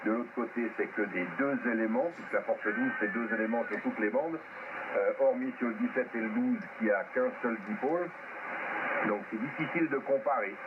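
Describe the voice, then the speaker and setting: A man's voice: medium pitch, moderate pace. A man talking off the cuff as an amateur radio operator over a radio transmission, hesitant and repeating words for emphasis. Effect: ham radio transmission. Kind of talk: unscripted technical talk over amateur radio. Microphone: radio transceiver microphone. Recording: very noisy, narrow-band radio link.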